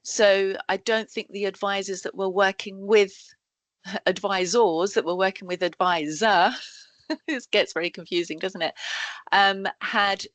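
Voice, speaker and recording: A woman presenting to colleagues in a work meeting, halting with frequent ums and self-corrections, heard over a video call. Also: mid-range voice, fairly even intonation, a bit bright, no echo. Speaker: education support officer presenting to colleagues.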